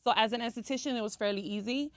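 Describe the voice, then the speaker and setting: A woman's voice: on the high side, very fast, no room sound. Chatty woman, close dry sound. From Cuba, living in the US since age three. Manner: chatty, often checks in with "right?". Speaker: interview guest, esthetician and skincare brand owner. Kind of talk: conversational podcast interview.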